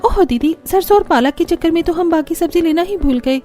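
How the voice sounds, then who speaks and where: A woman's voice: extremely high pitch, a little fast, dark tone, faint music behind, pitched above the performer's own voice. A woman voicing a naive young newlywed daughter-in-law who exclaims and questions in a cartoon story. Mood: surprised.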